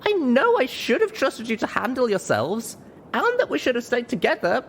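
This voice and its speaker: A man's voice: very high voice, huge pitch swings, moderate pace, fairly bright tone, a little hiss or hum, voice pushed up, heavily acted. A man voicing a young female pony heroine, weary and desperately earnest, in an audiobook. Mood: desperate, apologetic. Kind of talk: audiobook character voice.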